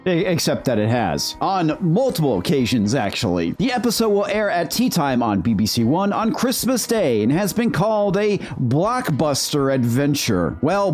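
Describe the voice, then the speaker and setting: A man's voice: on the high side, darkish tone. A man delivering scripted critical commentary in a sarcastic, mocking manner. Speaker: video host, TV critic.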